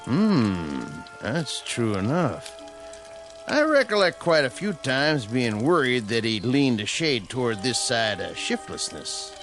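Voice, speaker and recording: A man's voice: mid-range voice, big pitch swings, slow, over music. An actor playing a laconic, easygoing farmer father with a rural Southern American accent in a radio drama. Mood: distracted, placid.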